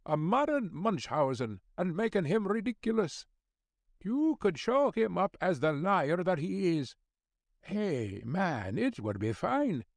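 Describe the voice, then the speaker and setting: A man's voice: high-pitched, gravelly, no room sound, voice pushed up, full character voice. A man voicing a crabbed but kindly old Scottish news editor, fussy and avuncular, in an audiobook. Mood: eager. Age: older. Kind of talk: audiobook character dialogue.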